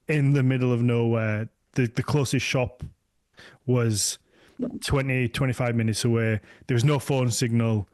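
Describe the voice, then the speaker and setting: A man's voice: medium pitch, darkish tone, no room sound. A man hosting a podcast in casual chat, rambling and trailing off mid-thought. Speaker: podcast host.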